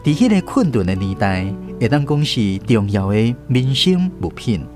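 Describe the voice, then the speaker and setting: Animated Taiwanese male storyteller over music. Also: mid-range voice, normal speed. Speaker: narrator. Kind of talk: scripted storybook radio drama narration.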